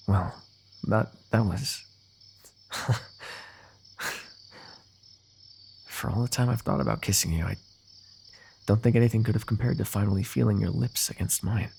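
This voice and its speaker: A man playing a lovestruck, eloquent fantasy royal in a romantic ASMR roleplay, talking intimately and poetically with gentle teasing. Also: on the low side, vocal fry, slight background noise. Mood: breathless, adoring. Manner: intimate, poetic, talkative, softly amused.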